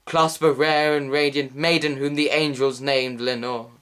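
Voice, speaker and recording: A grief-maddened scholar from a gothic poem, acted by a man, declaims in a raised, high-pitched voice. He pleads and rails with impassioned, desperate force in a theatrical verse style. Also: level tone, a little slow, fairly bright tone, clean tone, close to an everyday voice. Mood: desperate pleading. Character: grief-maddened scholar shrieking at an ominous bird. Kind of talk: dramatic gothic poetry reading.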